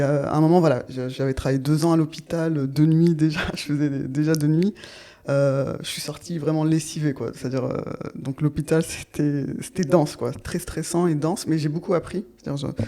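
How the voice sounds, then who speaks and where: A woman's voice: deep voice, warm tone. A woman in her thirties from Paris, a nurse talking as a podcast guest in a reflective, hesitant way with many fillers. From Paris, France. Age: 30s. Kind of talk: casual interview chat.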